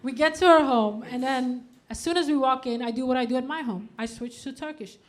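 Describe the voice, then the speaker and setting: High-voiced woman doing stand-up. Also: brisk. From Denmark. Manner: deadpan delivery with punchy outbursts. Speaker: stand-up comedian. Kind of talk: stand-up comedy set. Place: comedy club stage with a live audience.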